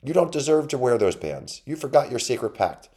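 A man's voice: a bass voice, a little fast, a little mellow. A man voicing a tiny, defiant young male mouse knight who speaks in a haughty, challenging way. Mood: furious.

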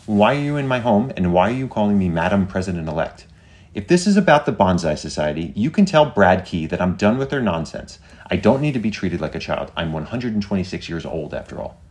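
A man's voice: sits low, quick pace. A man voicing a stubborn, indignant woman of very great age, blunt and scolding, in a storybook reading. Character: feisty, stubborn 126-year-old woman, indignant and blunt.

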